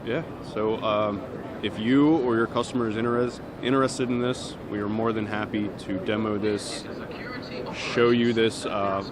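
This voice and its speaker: A man's voice: lowish voice, fairly even intonation, moderate pace, background noise. A man giving an unscripted, step-by-step demonstration of a product. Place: indoor trade show booth. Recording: busy trade show crowd behind.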